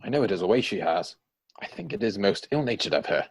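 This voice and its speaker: A man acts out a flippant young dandy in a stage comedy. His voice is fairly low and husky, and he delivers his lines with breezy, teasing drollery. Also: expressive, fast talker. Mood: amused, teasing. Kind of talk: theatrical comedy dramatic reading.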